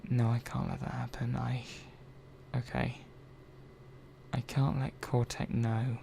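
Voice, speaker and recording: A man playing an earnest, hesitant robot repair technician in a soft-spoken ASMR roleplay, halting and trailing off mid-sentence. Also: mid-range voice, flat delivery, vocal fry, slight background noise. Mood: worried, protective.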